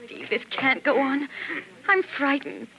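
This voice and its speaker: An actress playing an anxious, guilt-ridden secret sweetheart, earnest and dramatic in 1940s radio style. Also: female voice, sits high, huge pitch swings, a little slow, heavily acted. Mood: troubled. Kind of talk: 1940s radio melodrama acting. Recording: dull, noisy 1940s radio recording.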